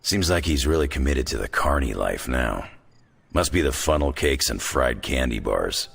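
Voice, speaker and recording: An actor playing a gruff, wry veteran fighter in a video game, with a gravelly voice and a laconic, folksy, sardonic way of talking. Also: male voice, extremely low pitch, expressive, normal speed, fairly bright tone, heavily acted. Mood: dry, sardonic. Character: gruff, wry veteran fighter, rugged middle-aged man. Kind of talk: video game cutscene dialogue.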